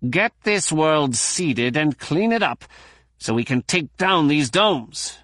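A gruff alien doctor with long green arms, impatient and growling, as a man puts him on. The voice sits well above a plain speaking register and drives each complaint hard. It is recorded dry and close. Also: fairly high pitch, animated, normal speed, fairly bright tone, no room sound, pitched above the performer's own voice.

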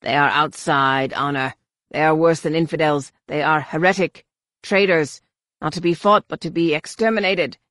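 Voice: mid-range voice, level tone, a little fast, dry recording, studio quality, close to an everyday voice. A narrator voicing a massive alien war leader from an audiobook, contemptuous and zealous, with a sneering, menacing delivery. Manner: sneering, menacing, barked commands.

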